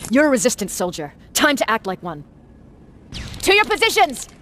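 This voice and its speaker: A tough, high-pitched resistance commander. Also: female voice, huge pitch swings, moderate pace, fairly bright tone, a little hiss or hum, full character voice. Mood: urgent shouting. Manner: commanding, terse, barking orders. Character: tough female resistance commander, battle-hardened and demanding. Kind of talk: dramatic video game acting.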